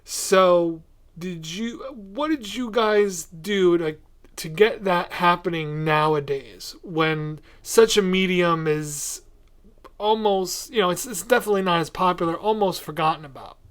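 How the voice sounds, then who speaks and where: A man hosting a podcast in a casual, informal and excitable way. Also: sits high, a little slow. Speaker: podcast host.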